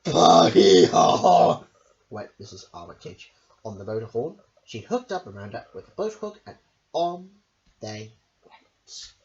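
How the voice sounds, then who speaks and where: A woman's voice: a bass voice, sing-song, a little slow, a little mellow. A woman reading a children's story aloud in a theatrical, emphatic way, sometimes stumbling over words, on a home recording. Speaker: storyteller on own channel. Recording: muffled, hissy home recording.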